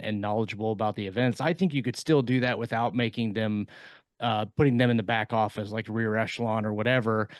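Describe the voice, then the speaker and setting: A man co-hosting a podcast in a casual panel discussion, speaking haltingly as he thinks aloud. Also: fairly low pitch, dry recording. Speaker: podcast co-host and fiction writer.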